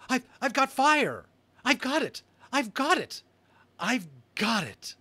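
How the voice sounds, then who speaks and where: A man voicing a lone stranded boy in a story, intense and halting, repeating his words. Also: very high voice, big pitch swings, bright, forceful tone, breathy edge, dry recording, exaggerated voice. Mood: exultant. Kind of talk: dramatic audiobook character reading.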